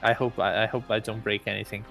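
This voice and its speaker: A man's voice: on the low side, little pitch movement, fast, vocal fry, fairly bright tone, faint music behind. A man reading a visual novel as a let's play, chatting casually with a flustered, self-deprecating manner.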